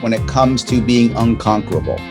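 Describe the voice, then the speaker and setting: A man's voice: mid-range voice, expressive, fairly quick. Emphatic motivational man over guitar music. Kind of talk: motivational talk.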